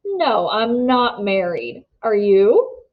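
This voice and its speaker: A woman's voice: medium pitch, sing-song, slow pace, narrow, tinny audio. A woman voicing an easygoing but guarded, earnest seventeen-year-old boy in a storybook, informal and a little hesitant. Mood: teasing.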